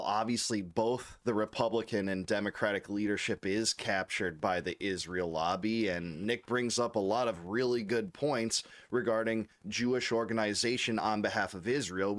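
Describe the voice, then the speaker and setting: A man making an argument in a debate, measured and articulate. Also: lowish voice, fairly bright tone.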